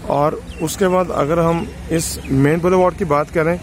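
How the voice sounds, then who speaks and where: A man's voice: a little high, fairly even intonation. Pakistani man touring plots from a car. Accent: Pakistani Urdu. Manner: informal, matter-of-fact, pausing with fillers. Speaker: real estate dealer giving a site tour. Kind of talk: casual property tour commentary. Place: in a car driving through open roads. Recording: road noise from a moving car. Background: car and road noise.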